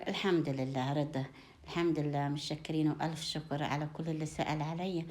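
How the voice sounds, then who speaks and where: A woman's voice: low voice, steady tone, fast talker, darkish tone. Upper Egyptian woman, chatty home vlogger. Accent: Upper Egyptian (Sa'idi). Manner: effusive blessings and endearments, repeats phrases. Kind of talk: casual chat to viewers, showing food gifts.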